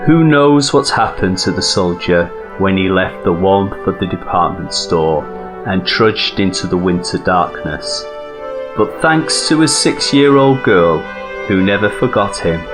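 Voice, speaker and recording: A man's voice: deep voice, expressive, a little slow. A man narrating a scripted documentary piece as host, with plucked guitar music behind.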